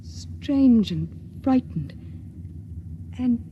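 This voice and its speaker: A secretive young woman in a vintage radio play, soft and hushed. Her voice sits high but mellow and dark in tone. A faint hiss runs underneath. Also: lively intonation, drawn-out words, lo-fi sound. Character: young woman aboard ship, frightened and secretive. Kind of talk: 1950s radio drama acting.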